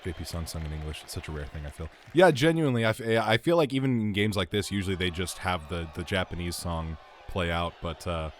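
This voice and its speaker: A man's voice: deep voice, expressive, fast. A man giving casual, conversational gameplay commentary as a streamer. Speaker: gaming streamer. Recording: game music and crowd noise behind.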